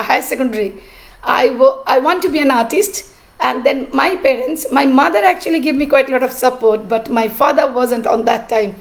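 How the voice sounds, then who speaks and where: A middle-aged woman, an artist and teacher telling her story in a cracked, rough voice, pausing often with "uh". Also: high voice. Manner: halting, with many "uh" pauses. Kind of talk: personal storytelling.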